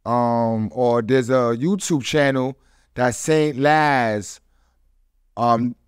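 A man's voice: medium pitch, very slow, smooth, dry recording. A man hosting a casual podcast, slangy and appreciative, close on a studio mic. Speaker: podcast host. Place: small studio with foam panels.

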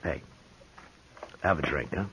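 A man playing a steady, weary frontier marshal in a 1950s radio drama, calm and dry. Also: very deep voice, takes it slowly, very noisy. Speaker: narrator and marshal. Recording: 1950s radio broadcast with music.

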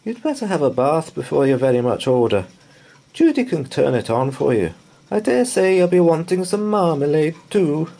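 A man voicing a fierce but kindly older housekeeper woman, brisk, stern and exclamatory. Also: a little high, big pitch swings, creaky, warm tone, voice pushed up, heavily acted.

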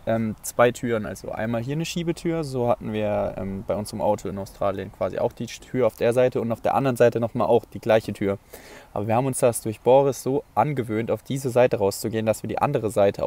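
A man's voice: medium pitch, vocal fry. A man in his twenties, a travel vlogger, chatting casually from inside a camper van into an earphone mic, with lots of fillers. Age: 20s. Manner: relaxed, chatty, filler-heavy. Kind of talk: casual vlog chat. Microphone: earphone headset mic.

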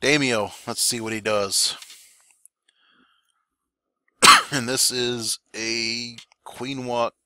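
A man commentating a strategy game war replay in a relaxed play-by-play, his voice hoarse and raspy today, with fillers and occasional coughs. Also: medium pitch, very slow, pauses often, no echo. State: voice getting raspy. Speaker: clan war recap commentator. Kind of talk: gameplay commentary.

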